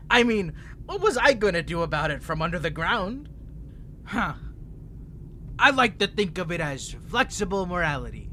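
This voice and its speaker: An ancient, unhinged male vampire in chains for a dramatic audio roleplay. The voice is bright and sharp, swooping widely in pitch as he rambles, flirts and manipulates. There is clear noise behind him. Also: big pitch swings, measured pace, long pauses, full character voice. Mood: flippant, menacing. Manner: theatrical, rambling, teasing, swinging between smug and pleading.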